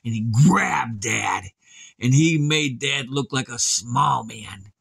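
Husky, folksy storyteller, a man. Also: medium pitch, lively intonation, slow pace, fairly bright tone, dry recording. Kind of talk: improvised scary bedtime storytelling.

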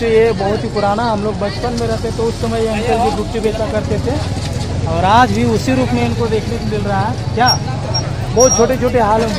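A man giving casual market commentary as a vlogger, mixing English words into Hindi. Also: sits high. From Jharkhand, India. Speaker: vlogger, host. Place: busy open-air village market. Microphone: handheld phone at arm's length. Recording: outdoor crowd noise with music behind.

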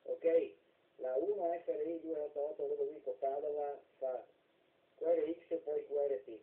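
A man's voice: on the high side, steady tone, moderate pace. A man, an amateur radio operator, chatting casually over the air. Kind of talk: casual radio sign-off chat. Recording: tinny distant radio transmission.

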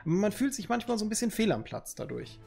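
A man's voice: sits high, sing-song, quick pace, vocal fry, darkish tone, slightly rough, faint music behind. A man, chatting casually and jokingly with his stream viewers through a headset in a room at home. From Northern Germany. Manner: loose and joking, reads chat aloud, slangy.

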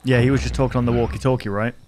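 A man's voice: mid-range voice, level tone, very fast. A man, giving casual, wry running commentary into a close microphone. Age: 20s. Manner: wry, musing asides. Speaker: reaction video host. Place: small bedroom studio indoors. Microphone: close desk microphone. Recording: close mic, film audio noise behind.

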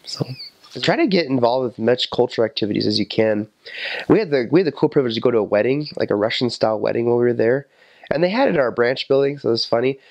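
A man's voice: medium pitch, fast, no room sound, lo-fi sound. A man in his twenties, a returned missionary, answering interview questions in a casual way with many fillers, recorded on a lapel mic in an office. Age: 20s. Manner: animated, talks with his hands, many fillers. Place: indoor office with window.

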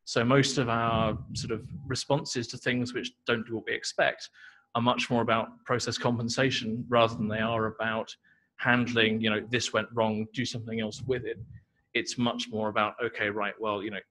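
A man's voice: mid-range voice, level tone, a little fast, pushed and bright, low-quality recording. Casual explaining man at tech meetup. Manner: casual, explanatory, many "you know" and "sort of". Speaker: tech talk co-presenter. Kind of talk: Q&A answers at a meetup. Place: meetup talk venue indoors.

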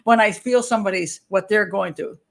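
An older woman with a slightly hoarse voice, hosting a livestream from home into a webcam. She chats in a loose, rambling way, breaks off mid-thought and laughs easily. Her pitch sits at an ordinary middle height for a woman. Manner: chatty, rambling, self-interrupting, quick to laugh. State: hoarse, clearing throat. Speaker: host, criminal profiler. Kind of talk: casual livestream talk.

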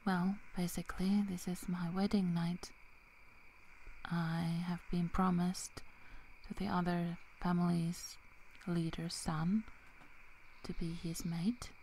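A woman playing a loyal, protective young werewolf in a whispered ASMR roleplay, speaking softly and haltingly. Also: lowish voice, little pitch movement, a little hiss or hum, rough recording. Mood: reluctant, resigned. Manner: soft, halting, breaking into whispers.

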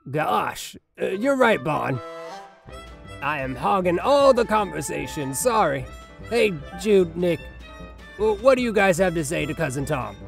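A man's voice: high-pitched, very animated, a little slow, gravelly, faint music behind, heavily acted. A man voicing a folksy, chatty, oblivious middle-aged father rabbit in a comic dub, jovial and rambling. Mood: sheepish.

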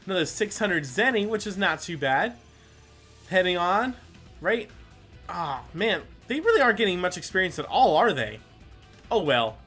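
A man's voice: sits high, big pitch swings, moderate pace, pushed and bright, faint music behind. A man giving casual, rambling gameplay commentary as the host, self-deprecating and given to mild swearing. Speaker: gaming video host.

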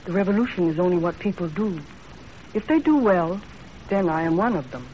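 A woman's voice: fairly low pitch, animated, brisk, dark tone, lightly acted. A woman playing a self-possessed, sceptical young servant in a composed, plain-spoken 1930s radio acting style. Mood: cool, sceptical. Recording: muffled, faintly noisy 1930s radio broadcast.

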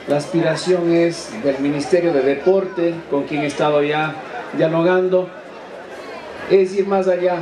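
A man's voice: on the high side, distant mic. Ecuadorian man speaking over echoey outdoor PA. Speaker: mayor-elect. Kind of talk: public speech. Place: outdoor canopy at sports ground. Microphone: handheld microphone. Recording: echoey noisy outdoor PA, crowd.

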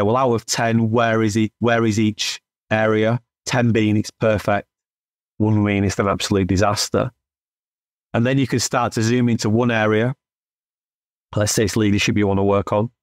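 A man with a fairly low voice lays out his ideas step by step as an expert guest on a podcast. He talks through examples carefully, and the recording is dry and close to the mic. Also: no echo. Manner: methodical, explains with examples and metaphors. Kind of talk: explanatory interview talk.

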